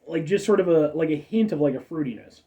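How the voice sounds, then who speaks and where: Stuffy-nosed guy in his 30s rambling thru a soda review, mellow voice, bouncy pitch, mic a bit far off. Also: animated, fast. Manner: rambling, self-correcting, chatty asides. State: stuffed-up nose. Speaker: food review show host. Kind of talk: casual product review.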